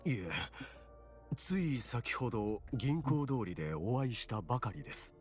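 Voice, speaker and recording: A man's voice: medium pitch, sing-song, fast, a little mellow, natural voice. An actor playing a courteous, devout townsman in a Japanese video game, speaking formally and earnestly over music. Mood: calm, devout. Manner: polite, formal.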